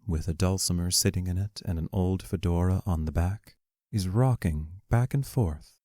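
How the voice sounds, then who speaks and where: A man with a low voice narrates the stage directions of an audio drama. He is measured and descriptive, and the recording is close and dry. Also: mellow, no echo. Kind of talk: scripted narration of play scene directions.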